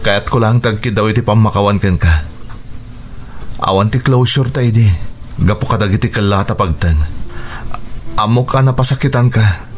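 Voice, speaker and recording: An actor playing a halting, remorseful middle-aged soldier in a radio drama. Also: male voice, lowish voice, close to an everyday voice.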